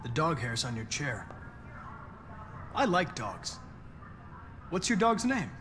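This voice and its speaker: An actor playing a polite, eager android police detective in a video game, speaking in formal, measured phrases. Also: male voice, fairly high pitch, wildly swooping pitch, fairly bright tone, in a large hall, soft background music, heavily acted. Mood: polite, friendly. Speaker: police investigator.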